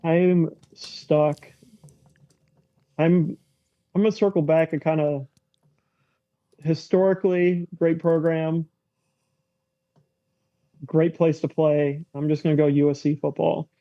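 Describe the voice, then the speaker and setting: A man's voice: on the high side, little pitch movement, slow pace, pauses often, dark tone, dry recording. A man speaking as a podcast guest in a casual sports chat, on slightly muffled audio.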